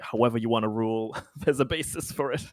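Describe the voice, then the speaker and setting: A man playing a tabletop roleplaying game, chatting and describing his character's actions in a halting, easygoing way. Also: medium pitch, a little mellow, no echo, studio quality. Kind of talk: casual chat and improvised character narration.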